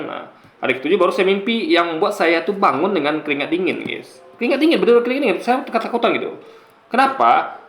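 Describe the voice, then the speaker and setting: High-voiced man in an echoey room. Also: gravelly, a bit bright. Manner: halting and repetitive, explanatory, often checks listeners follow. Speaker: YouTuber. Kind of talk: casual commentary monologue to viewers.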